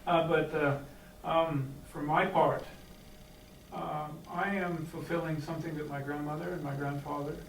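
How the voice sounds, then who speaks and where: A fairly high-pitched man talks haltingly from the floor of a meeting, with plenty of fillers and restarts. He stands well away from the microphone. The room is echoey, and a faint hiss runs underneath. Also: steady tone. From United States. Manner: hesitant, many fillers and restarts. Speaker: clan member speaking from the floor. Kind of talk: unscripted remarks at a meeting. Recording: echoey hall, distant mic, faint hiss.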